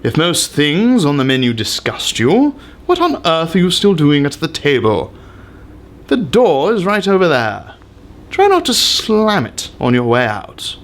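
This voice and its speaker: A man's voice: on the high side, wildly swooping pitch, a little slow. A man giving a pompous, theatrical dramatic reading of an essay with exaggerated emphasis.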